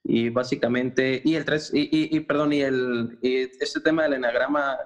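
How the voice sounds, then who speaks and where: Podcast guest, man, 30s, hesitant with restarts, mid pitch. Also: fairly even intonation, normal speed. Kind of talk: casual self-introduction.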